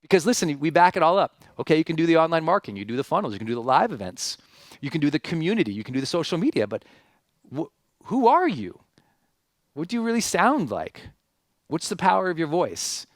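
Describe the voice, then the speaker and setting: A man's voice: fairly high pitch, very animated, fast, dry recording, clean studio sound. A man hosting a seminar as a motivational speaker, telling stories emphatically, with repeated phrases and rhetorical questions. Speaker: seminar host and speaker introducing a guest. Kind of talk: motivational stage talk, storytelling and guest introduction.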